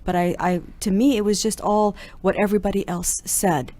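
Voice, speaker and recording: A woman's voice: medium pitch, steady tone, normal speed, warm tone. A woman from Canada, a guest on a radio interview, reflecting thoughtfully on her reasons.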